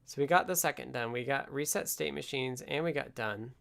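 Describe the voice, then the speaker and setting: A man hosting a casual live coding stream, talking haltingly and half muttering to himself as he works. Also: mid-range voice, gravelly, a bit bright. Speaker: livestream host, programmer. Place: indoors at a desk with computer.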